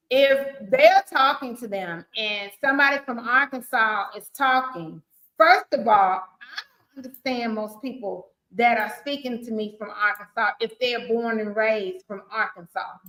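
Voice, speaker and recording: A woman's voice: on the high side, a bit bright. A woman from Chicago with a Southern-sounding accent, hosting a talk show and speaking emphatically and outspokenly. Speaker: host. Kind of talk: podcast discussion. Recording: echoey room.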